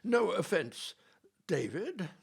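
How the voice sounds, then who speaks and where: A man's voice: high voice, big pitch swings, slow, slightly rough, heavily acted. A man playing a vain, haughty Elizabethan playwright in a comic audio play, pompous, condescending and easily offended.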